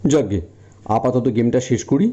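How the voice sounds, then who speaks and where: A man voicing an ambitious, money-hungry young husband in a cartoon, with an expressive, grumbling delivery. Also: mid-range voice, moderate pace, highs cut off, close to an everyday voice. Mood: dismissive, weary. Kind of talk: animated cartoon dialogue in Bengali fairy tale.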